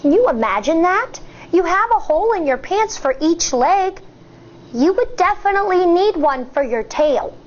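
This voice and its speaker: An American woman in her thirties, a teacher reading a picture book aloud to children in an expressive, deliberate way. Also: extremely high pitch, highs cut off, slight background noise. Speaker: elementary school teacher.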